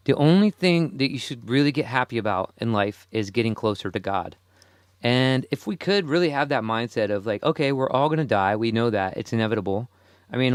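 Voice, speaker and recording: A man's voice: fairly low pitch, smooth, no echo, clean studio sound. A man in his forties hosting a solo podcast, rambling casually and chuckling to himself between thoughts. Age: 40s. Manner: rambling, self-amused, chuckles often. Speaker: podcast host.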